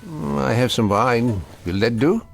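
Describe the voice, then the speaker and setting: A man's voice: medium pitch, animated, unhurried, gravelly. An actor playing a kindly, world-weary older man, wry and formal, in a radio drama. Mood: wry, caring. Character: kindly, world-weary older male mentor, gently chiding. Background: faint music.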